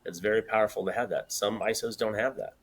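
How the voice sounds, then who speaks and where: A man's voice: on the low side, fairly even intonation, fast talker, husky. A man in his fifties co-hosting a podcast, talking earnestly in casual chat and repeating words as he builds his points. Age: 50s. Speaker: podcast co-host.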